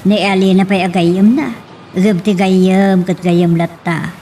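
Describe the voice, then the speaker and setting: A woman's voice: mid-range voice, fairly even intonation, measured pace, warm tone, a little hiss or hum, natural voice. A woman acting an earnest, distressed, pleading woman in an Ilocano romance radio drama. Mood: sorrowful, concerned.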